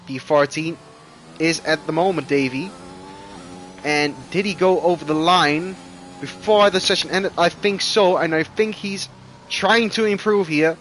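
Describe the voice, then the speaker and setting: A man whose first language is Dutch calls a sim racing session live, his voice sitting a little high and jumping up in excited bursts. Faint hiss and engine noise sit under him. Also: unhurried. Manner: excitable, rising loud bursts at crashes. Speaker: esports race commentator.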